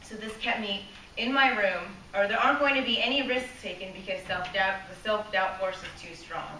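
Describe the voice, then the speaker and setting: Woman, prepared speech, earnest, asks the audience questions, bright voice, echoey room, distant mic. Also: medium pitch, normal speed, slight background noise. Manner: earnest, asks the audience questions, small laughs, loses her place and restarts. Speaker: club speaker.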